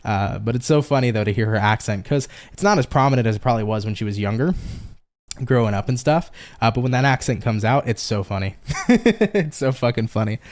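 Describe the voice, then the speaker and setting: A man's voice: mid-range voice, lively intonation, fairly quick, darkish tone. A man with a New York accent giving a casual live-stream tarot reading, chatty and swearing freely as he talks straight to viewers. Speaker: tarot reader.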